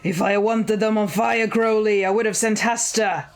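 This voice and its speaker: A woman voicing a stern, commanding male devil in an audio story, imperious and deliberate, over guitar music. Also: mid-range voice, steady tone, voice pushed up, close to an everyday voice. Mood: cold command.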